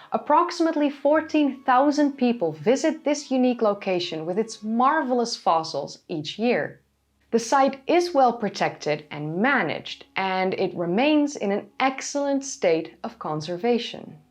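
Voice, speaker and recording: A woman hosting a history channel, giving scripted educational narration in a raspy, hoarse voice after being ill. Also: a little high, big pitch swings, moderate pace, soft background music. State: hoarse from recent illness.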